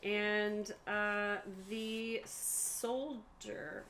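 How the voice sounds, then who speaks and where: A woman acts as game master for a tabletop session, narrating in a slow, measured way. She thinks aloud and hesitates as she rules on the game. There is faint noise behind her. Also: medium pitch, flat delivery, a bit bright.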